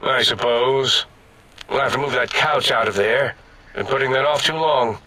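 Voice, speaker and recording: A pompous robot chief scientist from a video game, played by a man. The voice is bright and forceful at a middling pitch, officious, stilted and condescending, over faint noise. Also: moderate pace, lightly acted, poor audio. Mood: officious, resigned. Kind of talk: video game character acting.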